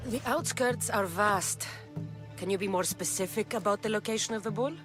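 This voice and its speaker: A woman's voice: medium pitch, normal speed, lightly acted. An actress playing a tough, no-nonsense female mercenary of ancient Greece, blunt and direct, in a video game. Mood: businesslike. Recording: game music behind the voice.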